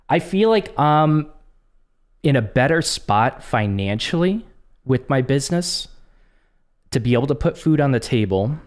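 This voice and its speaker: A man who is coming down with a cold, talking casually and haltingly to camera, with frequent restarts and ums. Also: medium pitch. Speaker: content creator.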